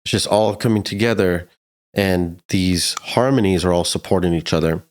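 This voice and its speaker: A man's voice: sits low, normal speed, a little mellow. A man giving a casual, slangy music production tutorial in a laid-back but enthusiastic way.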